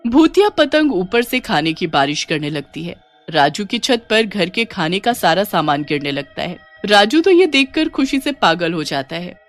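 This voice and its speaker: A woman's voice: on the low side, sing-song, moderate pace. A woman narrating a scripted children's ghost story as a storyteller. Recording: music behind the voice.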